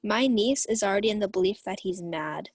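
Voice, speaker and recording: A woman's voice: medium pitch, animated, fairly quick, breathy edge, no echo, clean studio sound. A young woman playing a scheming male knight, mock-solemn and theatrical, in a radio-style Shakespeare play. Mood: mock concern. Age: young adult. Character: scheming, mischievous knight uncle, feigning grave concern. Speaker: student actor.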